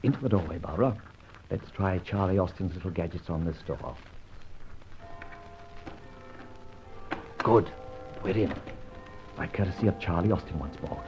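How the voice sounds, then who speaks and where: An actor playing a witty, roguish gentleman vigilante in an old-time radio detective drama, with a theatrical, urbane, teasing manner. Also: sits low, lively intonation, a little fast, music in the background. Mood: stealthy. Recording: muffled, noisy old radio recording.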